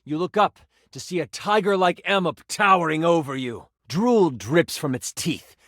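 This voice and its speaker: An actor playing an enthusiastic game master, a personified die who narrates tabletop fantasy with theatrical expression and savored suspense. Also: male voice, a little high, animated, normal speed, a bit bright, no room sound, studio quality. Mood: suspenseful storytelling.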